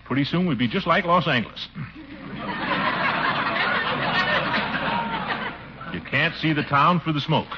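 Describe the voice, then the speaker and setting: An actor playing a pompous, easily flustered middle-aged city commissioner, blustering and sputtering in a 1940s radio sitcom. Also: male voice, a little high, fast, lightly acted. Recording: muffled, very noisy 1940s radio recording.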